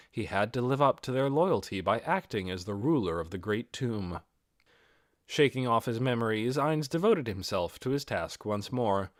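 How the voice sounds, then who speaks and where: A man's voice: medium pitch, no echo, studio quality. A man reading a fantasy novel aloud as scripted audiobook narration. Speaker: audiobook reader.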